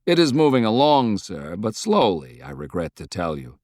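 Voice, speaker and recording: A man's voice: on the low side, huge pitch swings, moderate pace, a little mellow, no room sound, studio quality. A man voicing a self-assured army general in an audiobook, formal and politely insolent. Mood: smug courtesy.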